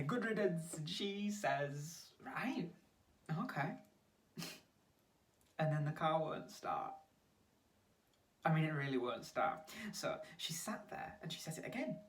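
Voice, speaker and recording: An actor playing a blunt, talkative young British flatmate in a colloquial, animated monologue. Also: mid-range voice, lightly acted. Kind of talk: naturalistic monologue acting. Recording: distant microphone.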